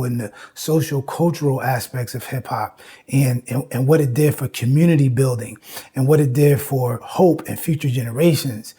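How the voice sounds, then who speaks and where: A husky male voice at a middle pitch, lecturing informally as a host. He explains step by step, repeating phrases for emphasis and dropping in conversational check-ins. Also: mellow. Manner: emphatic, repeats phrases, frequent "you know" and "right?".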